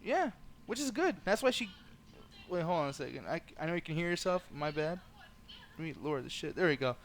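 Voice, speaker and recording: Lively, bright-voiced man streaming a game. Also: on the high side, brisk, a little hiss or hum. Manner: profane, joking, laughs often. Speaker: streamer playing a horror game. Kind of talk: casual gaming stream chat.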